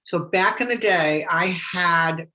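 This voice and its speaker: Bright-voiced woman giving a chatty tutorial. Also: lowish voice, takes it slowly, low-quality recording. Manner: chatty, repeats herself, many fillers and restarts. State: losing her voice. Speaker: business blogger teaching online marketing. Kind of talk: casual screen-share tutorial.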